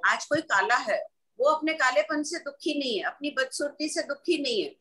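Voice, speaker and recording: Bright-voiced woman teaching scripture patiently. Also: high-pitched, animated, normal speed. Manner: patient, explains step by step with examples. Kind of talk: explaining scripture in class.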